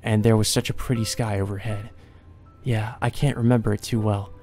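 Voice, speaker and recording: A man voicing a gentle young maid in a visual novel, speaking softly with wistful resignation. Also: on the low side, level tone, fairly quick, faint music behind, lightly acted. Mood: wistful, fading.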